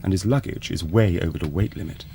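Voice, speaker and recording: Deep, mellow male documentary narrator. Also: fast, a little hiss or hum. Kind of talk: scripted docu-soap narration.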